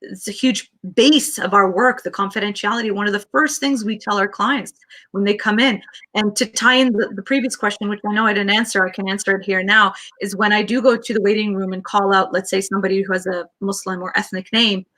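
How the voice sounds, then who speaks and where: A Canadian woman counselor speaks with a bright, forward tone. She tells her story earnestly and quickly, often restarting her sentences as she goes. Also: mid-range voice, fairly even intonation, rough recording. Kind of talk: interview answers, personal storytelling.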